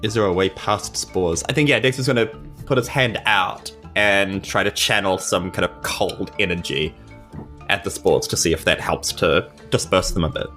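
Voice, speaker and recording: A woman's voice: a bass voice, moderate pace, a bit bright, soft background music. A woman chatting casually and playfully as a player in a tabletop role-playing game. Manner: informal, playful.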